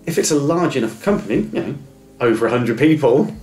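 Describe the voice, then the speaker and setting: A man's voice: mid-range voice, animated, brisk, a little mellow. A middle-aged man who stammers, giving earnest, encouraging advice in a reflective interview. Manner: stammers with repeated words and restarts. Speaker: interview guest. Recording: echoey room with faint music.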